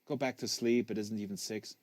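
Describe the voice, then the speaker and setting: A man voicing a laconic, guarded teenage boy in a soft, understated way for an audiobook. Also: fairly low pitch, steady tone, fairly quick, dry recording, lightly acted. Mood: quiet, guarded.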